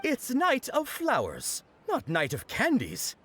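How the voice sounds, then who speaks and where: An actor voicing a jolly festival knight in a video game, given to theatrical proclamations and friendly patter for children. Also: male voice, very high-pitched, huge pitch swings, slow pace, fairly bright tone, a little hiss or hum, full character voice. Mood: playful.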